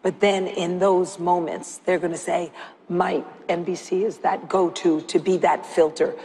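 A woman executive speaks on a panel in an upbeat, corporate tone. Her low voice has a gravelly edge and echoes through a large hall. A faint hum of room noise lies beneath. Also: fairly even intonation, unhurried, mellow. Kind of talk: panel discussion. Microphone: lapel mic.

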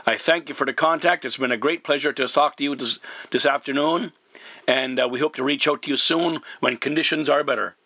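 A man speaks as a CB radio operator in a clear procedural style, courteous and deliberate. His voice is bright and forceful at a middling pitch. The sound is dry, with very little room around it. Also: dry recording. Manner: courteous, formal, deliberate repeating. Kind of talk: radio contact talk, spelling in phonetic alphabet.

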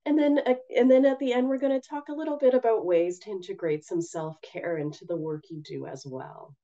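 A woman with a raspy, hoarse voice from a cold, giving a gentle, measured webinar presentation from Canada over a video call. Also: mid-range voice, fairly even intonation, highs cut off. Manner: gentle, measured, with frequent ums.

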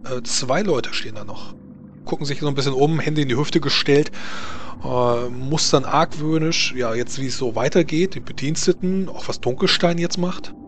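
A man's voice: mid-range voice, normal speed. Male game master with background noise. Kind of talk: narrating an online tabletop game.

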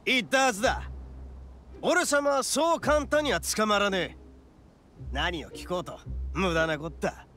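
Brash, animated young oni. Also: male voice, sits high, unhurried, pauses often, exaggerated voice. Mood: defiant, swaggering. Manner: cocky, rough slangy speech. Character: brash, boastful young oni man, hot-headed but soft-hearted. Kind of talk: anime game voice acting.

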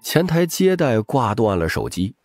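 A man's voice: medium pitch, sing-song, a little slow, soft background music. A man reading scripted, dramatic audiobook narration.

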